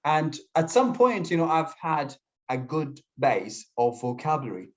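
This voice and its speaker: A man's voice: fairly high pitch, very animated, measured pace. Hesitant Syrian-born man, lo-fi audio. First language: Kurdish. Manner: hesitant, many fillers and restarts. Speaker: guest, English teacher. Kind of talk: casual interview conversation. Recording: slightly dull lo-fi audio.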